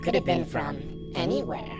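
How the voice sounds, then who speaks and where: A woman's voice: measured pace, natural voice. A woman voicing an eerie, cryptic hybrid creature in an audio horror drama. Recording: loud music behind the voice.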